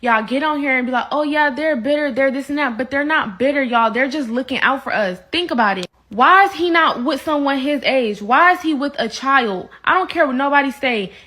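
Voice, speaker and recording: Earnest young woman with a high, bright voice. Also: fairly quick, few pauses. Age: young adult. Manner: earnest, lecturing. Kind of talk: social media rant.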